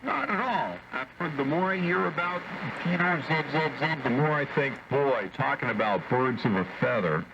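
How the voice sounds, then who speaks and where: A man's voice: mid-range voice, animated, normal speed, gravelly, hardly any pauses. A man chatting casually over shortwave ham radio in a wry, opinionated, joking way, like an operator in a group discussion. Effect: shortwave radio transmission. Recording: dull, noisy shortwave radio reception. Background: radio static.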